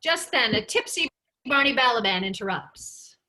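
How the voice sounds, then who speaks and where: A woman's voice: fairly high pitch, expressive, moderate pace, sharp tone, poor audio. A woman narrator reading stage directions at a script table read.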